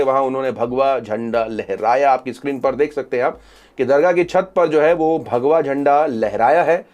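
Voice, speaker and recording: A man's voice: on the high side, darkish tone. A man hosting social media news commentary, reporting events and reading out reactions.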